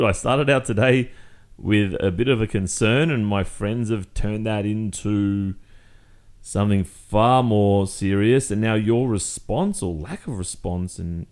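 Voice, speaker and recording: A man acts out a jealous Australian boyfriend with a low-pitched voice. He confronts his partner in an intimate roleplay monologue, exasperated and accusatory. His phrasing is conversational and halting, yet insistent. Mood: worried, wounded.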